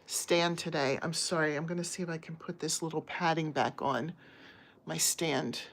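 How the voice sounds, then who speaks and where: Slightly low-voiced American woman, middle-aged, hosting a casual home livestream on her phone. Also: fairly even intonation, normal speed, a little hiss or hum. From United States. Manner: chatty, friendly, talks to chat viewers by name. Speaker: crochet livestream host. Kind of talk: casual live chat reading viewer comments. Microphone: phone on a stand.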